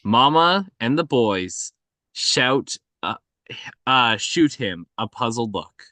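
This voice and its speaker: A man narrates a film script at a slow pace. His tone is bright and forceful, and the sound is dry, with scene directions read out step by step. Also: medium pitch, animated, dry recording. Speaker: narrator reading stage directions.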